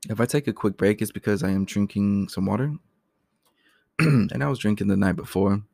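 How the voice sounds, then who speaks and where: A raspy-voiced man talks through his topics in a loose, chatty way, stopping now and then to clear his throat. His voice sits low with a gravelly fry. The sound is close and dry, with no room around it. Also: normal speed, dark tone, no room sound. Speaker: podcast host. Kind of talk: casual solo talk.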